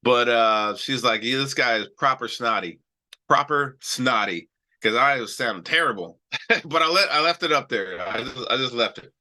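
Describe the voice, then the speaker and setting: A man with a very bright, sharp-edged voice hosts a live trading stream in a loose, chatty way. He has a cold and keeps circling back with "all right" as he talks through charts. His delivery is casual and full of restarts. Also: mid-range voice, animated, moderate pace. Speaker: stock trading show host.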